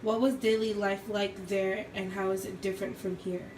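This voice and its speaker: A woman's voice: medium pitch, level tone, normal speed, darkish tone. Brief: young woman, interviewer, short plain questions, echoey room with faint noise. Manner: asks short direct questions. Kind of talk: oral history interview.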